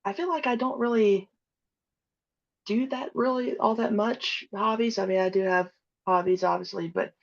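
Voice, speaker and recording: A woman's voice: medium pitch, moderate pace, gravelly. A young woman chatting as a podcast guest, easygoing and giggly, often restarting her sentences. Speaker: podcast guest, audio drama creator. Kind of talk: casual interview chat. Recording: dull lo-fi sound.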